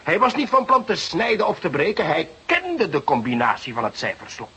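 Felle jonge rechercheur, zeer beweeglijke stem. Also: male voice, high-pitched, big pitch swings, fairly quick, pushed and bright, slightly rough, full character voice. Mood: frustrated. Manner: theatrical, sarcastic, emphatic. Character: eager, exasperated young male police detective. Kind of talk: Dutch radio play acting.

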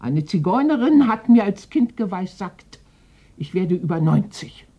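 A woman's voice: mid-range voice, sing-song, unhurried, warm tone, husky, lo-fi sound. An actress playing a domineering, eccentric old woman in her eighties, vengeful and wistful, coaxing and commanding theatrically in a German radio play. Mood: coaxing, grandiose. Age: older.

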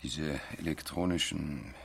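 An actor playing a skeptical, deliberate male investigator in a radio drama, talking in a hesitant, pondering way. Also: a bass voice, gravelly, a little hiss or hum, full character voice.

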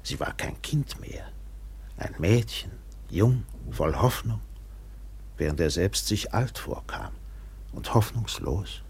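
A reflective ship's captain telling a story in a radio drama. His voice is deep and husky, with wide swings of intonation. The delivery is measured, somber and literary. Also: lively intonation, darkish tone, full character voice. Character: reflective male steamship captain recounting a man's decline. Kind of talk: literary radio play narration.

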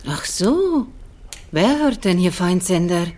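A woman's voice: lowish voice, big pitch swings, slow, a little mellow, exaggerated voice. An actress playing a middle-aged farm mother, folksy, blunt and teasing, in a German radio drama. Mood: stern. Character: blunt, earthy farm mother of a teenage daughter.

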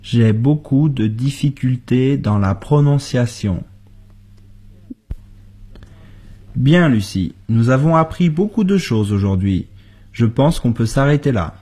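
A husky male voice, speaking very slowly and deliberately. He says each practice sentence for learners, then repeats it word for word. The pace is unhurried, like a drill on a radio lesson. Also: mid-range voice, lively intonation, dark tone. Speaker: co-host of a language lesson. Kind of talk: slow model sentences for learners.

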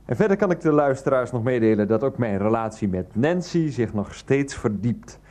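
Guy acting as a middle-aged ex-teacher in a radio comedy drama, mid pitch, placating, hesitant, drags his phrase ends. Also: lively intonation, moderate pace, darkish tone, close to an everyday voice. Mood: earnest, sheepish.

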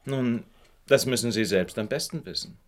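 An actor playing a shrewd, self-assured detective in a radio play, measured and courteous but insistent. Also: male voice, medium pitch, close to an everyday voice. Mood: stern, probing. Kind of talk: German radio play acting.

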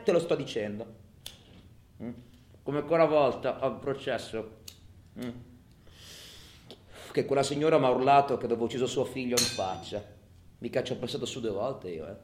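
Guy playing bitter convict talking to himself, radio drama monologue, mid pitch, roomy echo, mutters then snaps loud. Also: animated, a little fast, long pauses, lightly acted. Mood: sardonic. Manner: colloquial, muttering to himself, with sudden loud outbursts. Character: cynical, bitter male convict musing in his cell. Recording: roomy, echoing sound.

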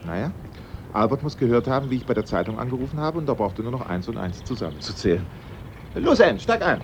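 A man acting a sharp-witted amateur sleuth in a German radio drama, theatrical and assertive as he sets out his case step by step. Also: medium pitch, sing-song, quick pace, background noise. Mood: relaxed, curious.

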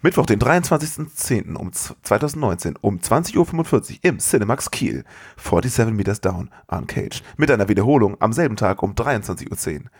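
A man's voice: lowish voice, expressive, a little fast, husky. Slangy, enthusiastic man. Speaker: podcast co-host. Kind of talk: casual chat.

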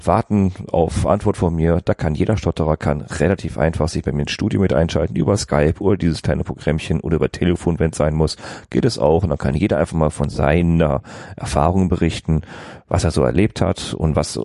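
Male podcast host, very low pitch, gravelly, warm dark tone, easygoing. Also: brisk, no echo, clean studio sound. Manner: agreeable, short affirmations. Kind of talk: casual podcast chat.

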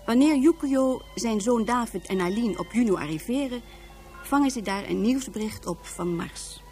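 Mellow female radio drama narrator. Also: a little high, expressive, faint music behind. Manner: measured, expository.

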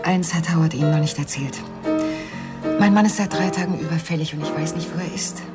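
An elegant, anxious wife, played by an actress in a radio crime drama. Her voice sits low, soft and refined. She stays composed while quietly pleading, with music clearly playing behind her. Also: natural voice. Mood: worried, pleading.

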